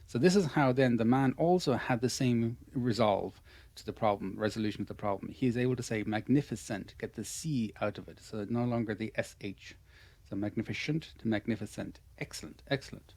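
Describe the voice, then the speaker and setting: An Irish man in an online lesson, coaching a student through pronunciation. His voice sits at a middling pitch, and he stays patient and encouraging, handing out praise again and again. The sound is dry and close, with no room around it. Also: brisk, darkish tone, no echo. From Ireland. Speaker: English pronunciation teacher.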